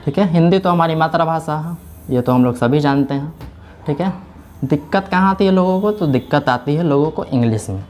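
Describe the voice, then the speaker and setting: A man's voice: a little high, a little fast, darkish tone, a little hiss or hum. A man teaching a class in speech, explaining step by step and answering his own questions. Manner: explaining step by step, keeps saying "theek hai"; asks questions and answers them himself. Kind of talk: classroom lecture.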